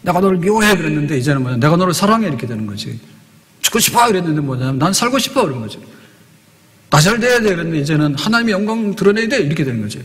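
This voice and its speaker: A man's voice: a little high, very animated, very fast. A man preaching a Sunday sermon as pastor, emphatic and often asking the congregation questions. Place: church sanctuary. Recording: long church reverb.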